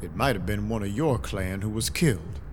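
A man's voice: lowish voice, moderate pace, a little hiss or hum, lightly acted. Man playing a world-weary noir vampire detective. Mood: wry, businesslike. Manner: dry, terse, brooding. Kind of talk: first-person noir narration, audio drama acting. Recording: loud music behind the voice.

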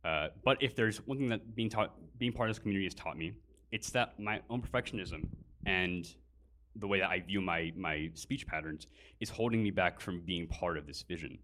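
A man's voice: low-pitched, moderate pace, fairly bright tone. A man giving a prepared personal speech at a live event, earnest, with fillers between phrases. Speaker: guest speaker.

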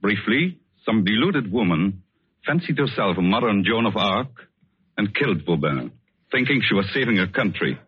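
A man acting a tender, wistful painter in love in a 1940s-style radio drama, speaking softly and hesitantly. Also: on the low side, big pitch swings, normal speed, breathy edge, dry recording. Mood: grave, pointed. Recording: dull old radio recording, music behind.

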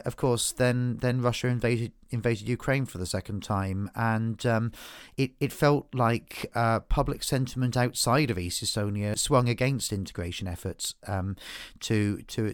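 A man hosting a political podcast, talking in a halting way with many restarts and fillers. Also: mid-range voice, no echo, clean studio sound. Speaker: podcast host. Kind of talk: political discussion.

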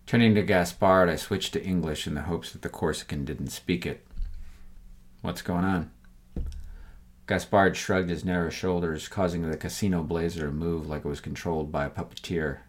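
An extremely low-pitched man narrates serial crime fiction in the first person. He reads from a script as the storyteller, with his voice sitting at the very bottom of the male range. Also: fairly even intonation, moderate pace.

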